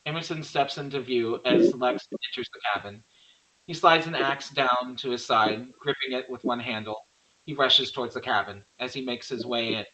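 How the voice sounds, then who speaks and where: A man narrates a screenplay, reading out action and scene headings. His voice is mid-pitched, bright and forceful. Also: poor audio. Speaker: narrator reading stage directions. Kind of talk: scripted narration of horror screenplay.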